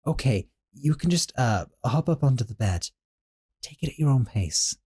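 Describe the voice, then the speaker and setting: A man playing a gentle, doting young sorcerer roommate in a scripted audio roleplay, talking softly and a little flustered. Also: medium pitch, expressive, normal speed, breathy edge.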